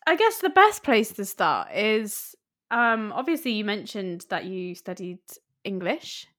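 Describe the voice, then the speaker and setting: A woman hosting a casual interview, enthusiastic in her reactions and quick to laugh. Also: medium pitch, very animated, fairly bright tone. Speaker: podcast host.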